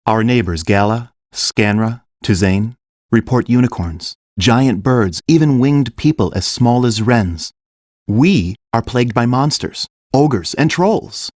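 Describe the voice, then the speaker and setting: A man playing a kind, grave fantasy king in a full-cast audiobook, speaking in a gentle, measured, earnest way. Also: mid-range voice, animated, dry recording, studio quality.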